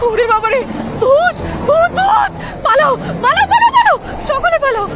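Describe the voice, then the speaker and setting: A woman's voice: extremely high pitch, big pitch swings, normal speed. A woman voicing a terrified, shrieking, stammering village mother in a cartoon. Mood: screaming terror. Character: terrified village mother screaming about a ghost. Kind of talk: cartoon voice acting. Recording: loud music and crowd din behind.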